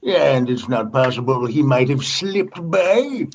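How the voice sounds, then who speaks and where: A man's voice: mid-range voice, lively intonation, measured pace, a little mellow, slight background noise. A man acting a skeptical, demanding commodore in a comedy sci-fi radio play, with pointed, condescending questioning, on dull muffled audio. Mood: challenging, pointed. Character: skeptical, demanding commodore inspecting a space station.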